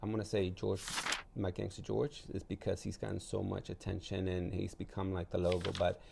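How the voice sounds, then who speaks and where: A man's voice: low voice, completely flat delivery, a little fast, creaky, background noise. A man from El Salvador, an artist and gallery owner, talking casually and anecdotally as an interview guest.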